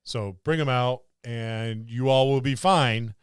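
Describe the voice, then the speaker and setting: A man's voice: medium pitch, slow pace, clean tone, no echo, clean studio sound. A man co-hosting a podcast, musing aloud in a casual discussion about tabletop gaming. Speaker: podcast co-host.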